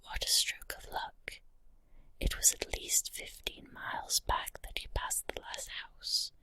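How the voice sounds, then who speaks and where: Whispering woman narrating slowly. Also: bright, forceful tone, no room sound. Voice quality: breathy unvoiced whisper. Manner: slow, deliberate, short phrases with pauses. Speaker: ASMR narrator. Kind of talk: whispered ASMR guided visualization, scripted second-person story narration.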